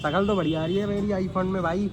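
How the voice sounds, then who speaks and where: A man's voice: sits high, fairly even intonation, fairly quick, music in the background. A young man chatting casually as the vlogger's friend, joking and mocking himself. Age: young adult. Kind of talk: casual chat. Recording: outdoors with loud traffic noise.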